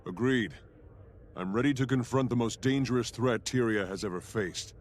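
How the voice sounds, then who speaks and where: A man's voice: mid-range voice, normal speed, natural voice. An actor playing a bold, resolute male warrior hero in a fantasy video game, assured and straightforward. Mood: resolute, friendly.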